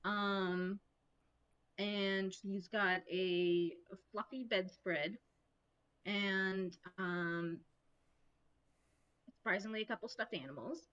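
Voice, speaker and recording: A woman playing a tabletop roleplaying game, haltingly and casually describing her character through a dull microphone. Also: medium pitch, a bit bright, clean tone.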